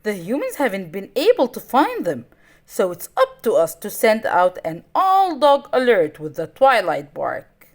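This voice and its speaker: A woman's voice: medium pitch, huge pitch swings, unhurried. A woman voicing an alarmed Great Dane messenger in a storybook, speaking with urgent emphasis.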